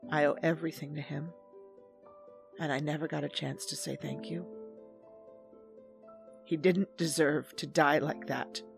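A determined female officer in a space-opera audio drama. Her voice is low-key and gravelly with a lot of fry, earnest yet firm, over soft music. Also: fairly even intonation, unhurried, pauses often. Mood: grieving, tender. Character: determined, commanding female soldier and ship's officer. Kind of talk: first-person audio drama narration and dialogue.

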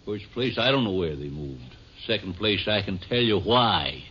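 A raspy, ominous old codger in an old radio play. His voice is low but swoops about widely as he grumbles in folksy, ungrammatical talk. The recording is muffled 1950s radio. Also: lively intonation, moderate pace, a bit bright. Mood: grumpy, suspicious. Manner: folksy, ungrammatical drawling dialect. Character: cranky, suspicious old man, rustic and ominous. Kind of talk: 1950s radio drama acting.

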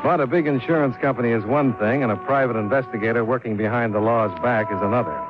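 A man's voice: mid-range voice, fast talker, natural voice. A man playing a shrewd private investigator in a 1950s radio drama, speaking in a clipped, dry, matter-of-fact way. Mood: wry, wary. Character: shrewd, wry hard-boiled male private investigator. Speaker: narrator and lead of radio detective drama. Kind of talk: 1950s radio acting, hard-boiled narration. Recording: muffled 1950s radio recording with music.

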